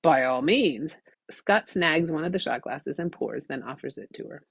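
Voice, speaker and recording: A woman reading her own audiobook story in an expressive, scripted narrating style. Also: sits low, moderate pace, gravelly, breathy edge, dry recording, muffled recording.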